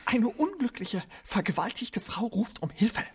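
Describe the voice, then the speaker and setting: A man playing a frantic, imperious gentleman in a radio drama, husky, agitated and commanding. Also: high voice, very animated, unhurried, heavily acted. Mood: frantic, urgent. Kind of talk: literary radio drama acting.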